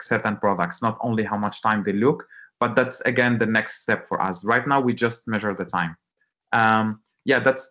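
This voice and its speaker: A man giving informal interview answers as a guest, halting and repeating words as he searches for phrasing. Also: medium pitch, fairly bright tone, dry recording. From Morocco. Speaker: startup founder, webinar guest. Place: online webinar call. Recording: muffled, band-limited online call.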